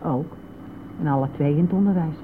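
A woman's voice: deep voice, fairly quick, close to an everyday voice. An older woman playing a curt, stubborn village mother-in-law in a radio play, answering tersely and defensively. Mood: reticent, stubborn. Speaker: radio drama actor. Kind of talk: Dutch radio play acting. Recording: tinny narrow-band old radio recording, vehicle noise.

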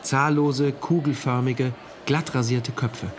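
An actor playing an earnest, devout citizen of a regimented future state, narrating his diary in a measured, confiding and precise way. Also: medium pitch, fairly even intonation, heavy background noise, natural voice. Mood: solemn. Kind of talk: first-person radio drama narration, diary entries.

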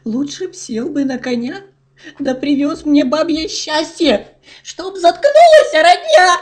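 Very shrill bratty young princess. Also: male voice, lively intonation, takes it slowly, exaggerated voice. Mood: defiant. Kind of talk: rhymed comic fairy-tale performance.

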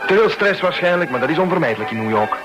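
A man's voice: on the high side, big pitch swings, rapid-fire, music in the background. An actor playing an easygoing, chatty American businessman on holiday in a radio play. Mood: affable.

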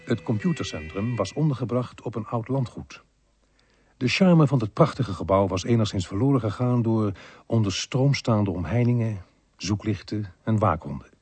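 A man's voice: lowish voice, expressive, normal speed, a little mellow, breathy edge. An actor playing a dry, wry police inspector who narrates his case in a radio drama. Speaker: narrator and lead character.

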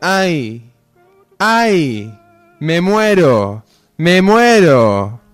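A man's voice: on the high side, big pitch swings, very slow, dry recording, soft background music, heavily acted. A man playing a dying, wealthy old hacienda owner, wailing melodramatically in a parody radio soap. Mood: agonized wailing. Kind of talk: parody telenovela radio acting.

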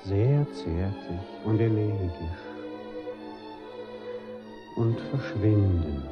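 A man narrating a 1950s radio play from a script in a measured, solemn storytelling manner. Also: fairly low pitch. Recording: dull 1950s radio recording, music behind. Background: orchestral music.

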